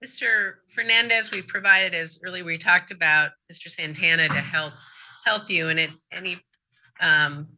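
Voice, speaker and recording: A woman judge conducts a court hearing, laying out the procedure one careful step at a time. Her voice sits a little low yet rings very bright and clear. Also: fairly even intonation, slight background noise, rough recording. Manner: measured, explains procedure step by step.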